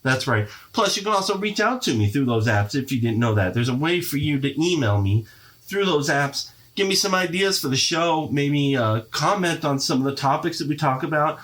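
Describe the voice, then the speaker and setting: A man's voice: fairly high pitch, expressive, moderate pace, fairly bright tone. A man hosting his own podcast in a casual solo monologue, chatty and playfully boastful, sounding congested and stuffy-nosed. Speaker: podcast host.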